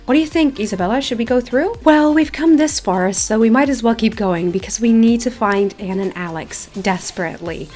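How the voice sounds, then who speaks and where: A woman with a fairly high voice hosts a gameplay video. She talks casually and chattily, with a self-deprecating streak, while music plays behind her. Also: fairly quick, hardly any pauses, a little mellow. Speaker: gaming video host. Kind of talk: casual gameplay commentary, reading quest dialogue aloud.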